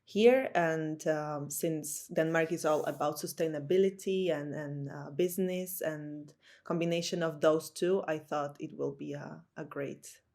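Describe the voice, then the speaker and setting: Woman, 20s, English, lowish voice, hesitant with fillers. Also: fairly even intonation, unhurried, darkish tone. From Slovakia. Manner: hesitant, frequent fillers, laughs easily. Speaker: podcast guest. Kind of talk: casual interview talk.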